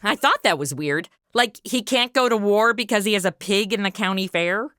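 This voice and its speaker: A woman's voice: mid-range voice, normal speed, a bit bright, no room sound, studio quality, close to an everyday voice. A woman playing a snarky, irreverent trainee angel in a comedy audio drama, blunt and sarcastic. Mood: puzzled, flippant.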